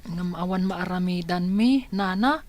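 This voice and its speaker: A woman's voice: on the low side, a little slow, heavy background noise, lightly acted. A woman acting as an aggrieved, helpless orphaned youngster in a radio drama.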